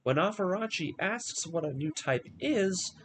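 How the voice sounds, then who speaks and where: A man's voice: high voice, animated, unhurried, a bit bright, a little hiss or hum. A man narrating a scripted story recap in a dramatic storytelling manner, as in a fan video essay. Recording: voice over background music. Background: music.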